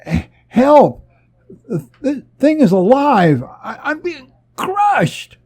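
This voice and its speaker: A man's voice: very high voice, wildly swooping pitch, slow, a little mellow, slightly rough, voice pushed up, full character voice. A man voicing a panicked young-spirited spaceship crewman who stammers and strains as he cries for help in an adventure story. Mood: terrified, pained.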